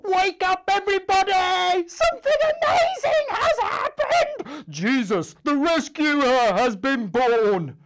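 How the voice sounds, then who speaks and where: A man voicing excited, joyful storybook characters who exclaim their news loudly. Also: takes it slowly, few pauses, exaggerated voice. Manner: high, loud exclaiming.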